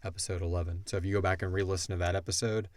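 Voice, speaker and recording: Low-voiced man, casual podcast co-host. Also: flat delivery, very fast, a little mellow, no room sound. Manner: informal, slangy, thinks aloud. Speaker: podcast co-host and audio drama writer. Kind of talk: casual Q&A chat about an audio drama.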